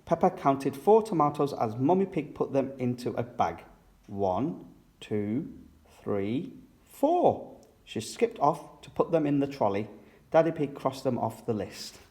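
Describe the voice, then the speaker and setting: A male story reader for young children. His medium-pitched voice rises and falls in a lively way as he narrates expressively. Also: normal speed. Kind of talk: expressive read-aloud storytelling.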